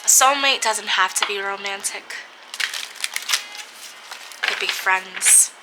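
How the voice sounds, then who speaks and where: Slow, dreamy woman reading tarot. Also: medium pitch, very noisy. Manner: slow, dreamy, with soft laughs and pauses. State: stuffy from a cold. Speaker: tarot reader. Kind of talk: spiritual tarot reading for camera.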